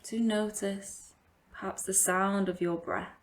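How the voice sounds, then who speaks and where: A woman leading a guided meditation as a yoga teacher, giving gentle, invitational instructions with long pauses. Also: medium pitch, steady tone, poor audio.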